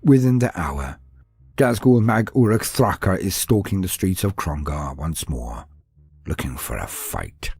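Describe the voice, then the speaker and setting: A man's voice: deep voice, very animated, measured pace, creaky, darkish tone, husky, no echo, soft background music. A man reading scripted fantasy lore as a narrator, in a theatrical, formal storytelling style.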